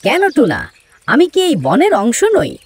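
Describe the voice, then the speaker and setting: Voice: medium pitch, huge pitch swings, normal speed, breathy edge. Someone playing a sly, swooping cartoon fox. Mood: falsely sweet. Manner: wheedling, theatrical, mock-friendly. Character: sly, crafty grey male fox, falsely sweet schemer. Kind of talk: children's cartoon story dialogue.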